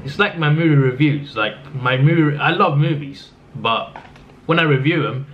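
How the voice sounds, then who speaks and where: A man's voice: mid-range voice, moderate pace. A man with a fading voice, rambling through a casual football recap in a subdued tone, with lots of restarts. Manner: subdued, flat-sounding delivery; rambling, with frequent restarts and fillers. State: losing his voice. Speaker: football vlogger. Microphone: camera mic. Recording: slightly dull lo-fi audio with faint noise.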